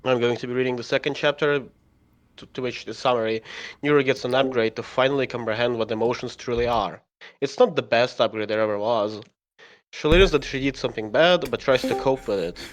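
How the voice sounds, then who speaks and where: A man talking casually in a group voice chat, halting and repeating himself as he goes. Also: medium pitch. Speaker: fan fiction author and reader in a readalong.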